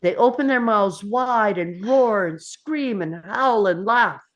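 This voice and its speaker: A woman's voice: medium pitch, animated, slow, muffled recording. A woman narrating scripted stage directions for a screenplay read.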